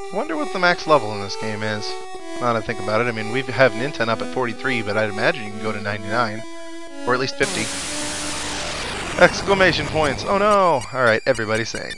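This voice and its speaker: A man giving casual, chatty let's-play commentary on a video game, with wry asides. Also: high voice. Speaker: let's player. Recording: game music behind the voice. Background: video game music.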